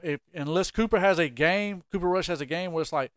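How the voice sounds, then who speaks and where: A man hosts a casual sports podcast, animated and joking as he grills his co-host. His voice sits a little high and sounds smooth and clear. The recording is dry and close. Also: brisk, no room sound. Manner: animated, jokey, plays the interviewer.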